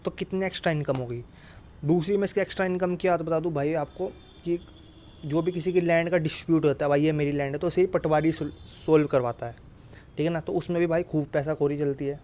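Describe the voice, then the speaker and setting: Blunt North Indian man, slightly high voice. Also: fairly even intonation, fast, warm tone. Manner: blunt, insistent, repeats points with check-in tags. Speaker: exam coaching YouTuber. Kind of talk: informal explainer, addressing viewers directly.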